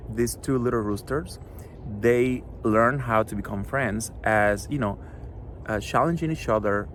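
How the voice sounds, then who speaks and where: A middle-aged man from Cuba, a Spanish native speaker with a Cuban accent, talks earnestly to a school audience about his children's book, pausing often with fillers. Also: fairly low pitch, slight background noise. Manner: earnest, halting, many fillers. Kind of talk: recorded address to a school audience.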